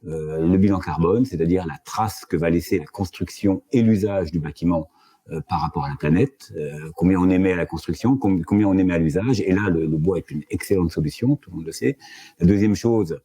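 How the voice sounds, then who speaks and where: Very deep, dark-toned businessman, measured, explains industry points on a panel. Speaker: company director, panel guest. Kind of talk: panel discussion. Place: office.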